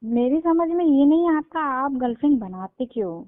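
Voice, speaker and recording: Through a narrow, tinny phone connection, a woman plays an inquisitive elder sister. Her voice sits somewhat high, and she prods colloquially, like a nosy confidante on a casual call. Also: animated, moderate pace. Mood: probing.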